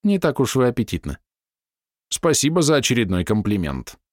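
A man's voice: medium pitch, wildly swooping pitch, normal speed, dry recording, studio quality, voice pushed up. A man voicing an irritable middle-aged male writer who snaps back with indignant retorts. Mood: irritated.

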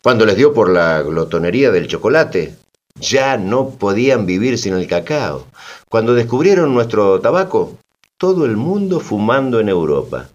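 A man playing an indignant, sardonic storyteller in radio theatre, talking conversationally with expressive irony. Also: fairly low pitch, normal speed, highs cut off. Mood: wry storytelling. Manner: expressive, ironic, mimicking orders. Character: indignant, sardonic male storyteller recounting colonial exploitation.

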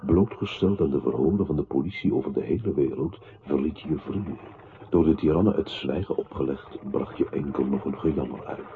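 Grave deep narrator in an old radio play, declaiming slowly, thin hissy sound. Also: male voice. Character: male narrator in a poetic choral radio play, solemn and grave. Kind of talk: literary radio drama narration. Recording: tinny, band-limited old radio-play recording with noise.

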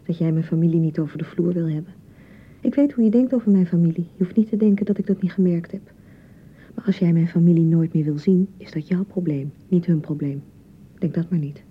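An actress playing an insecure young newlywed wife in a radio drama, nagging and reproaching in repeated, sarcastic phrases. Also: female voice, on the low side, fairly quick, slightly rough, narrow, tinny audio. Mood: hurt, reproachful. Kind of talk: naturalistic radio drama acting.

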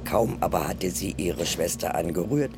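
A man's voice: low voice, expressive, normal speed, noisy background. A man reading a fairy tale aloud as a storyteller. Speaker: narrator.

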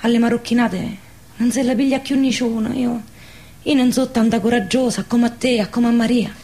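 A haunted young village woman acted by a woman in a radio play, fearful, ashamed and bitter. She speaks plaintively in a fairly high voice with a southern Lazio dialect. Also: steady tone, fairly quick, warm tone, close to an everyday voice. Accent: southern Lazio Italian dialect. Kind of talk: radio drama acting.

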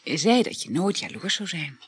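An actress playing a flirtatious, teasing woman in a Dutch radio play, with coy, drawn-out, expressive delivery and a husky voice. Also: fairly low pitch, slight background noise. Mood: petulant. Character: flirtatious, teasing woman boasting about her lovers.

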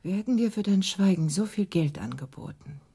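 A woman playing an unconcerned female captor in a radio drama, with a husky, breathy voice. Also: medium pitch, expressive, brisk, warm tone. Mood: hushed, unconcerned.

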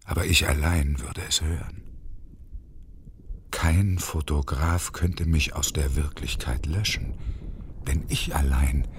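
An actor playing a bitter, desolate unemployed engineer around fifty in a radio play, with a husky, brooding delivery. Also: male voice, rumbling low, fairly even intonation, slow, long pauses, slight background noise, exaggerated voice. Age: middle-aged. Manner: brooding, often whispery delivery. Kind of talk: German radio play acting, monologue.

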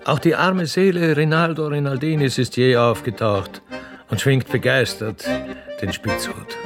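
A man's voice: medium pitch, fairly even intonation, a little slow, over music. A man narrating a satirical literary radio play in scripted prose.

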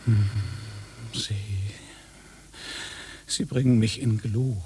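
A man's voice: mid-range voice, fairly even intonation, drawn-out words, warm tone, slight background noise. An actor playing a vain, pushy fake policeman in a German radio play, pompous, lecherous and pedantic. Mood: indignant, wheedling.

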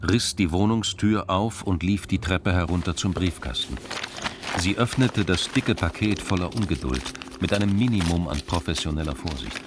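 An actor narrating a radio crime drama as a sober, measured storyteller. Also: male voice, sits low, background noise. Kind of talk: scripted literary narration.

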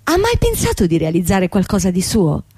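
Radio drama casting: young female boss, condescending and persuasive, soft-edged mellow tone, medium pitch, dry close sound. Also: huge pitch swings, brisk, slightly rough, no echo. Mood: urging, insistent. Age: young adult. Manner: persuasive, insistent, formal.

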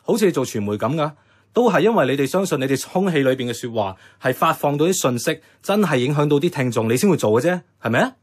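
A man's voice: on the high side, expressive, fast talker. A man acting an idealistic, stubborn young activist with a Hong Kong Cantonese accent, earnest and persuasive. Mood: earnest, insistent. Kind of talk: radio drama acting.

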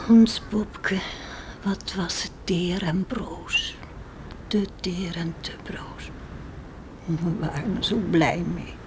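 An actress playing an anxious, tender and pious farmer's wife and mother in a radio play, musing in hushed, emotive tones. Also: lowish voice. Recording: old radio play with faint noise.

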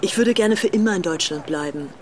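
A woman's voice: on the low side, a little fast, close to an everyday voice. An actress playing a self-assured young upper-class British woman, poised and devoted to her idol, in a radio drama. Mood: admiring, earnest. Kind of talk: acted radio drama dialogue.

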